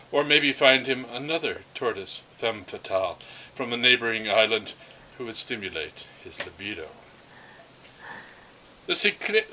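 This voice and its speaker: A man's voice: mid-range voice, pushed and bright, a little hiss or hum, rough recording. A man reading a first-person literary story aloud, expressively and with the occasional stumble. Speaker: reader of a story.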